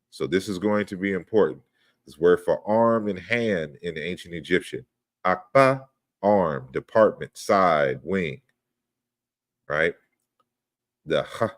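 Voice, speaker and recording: A man's voice: low-pitched, dry recording, studio quality. A man giving an online lecture over slides, explaining step by step and often checking in with "right?". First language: not Yoruba. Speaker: lecturer on language history.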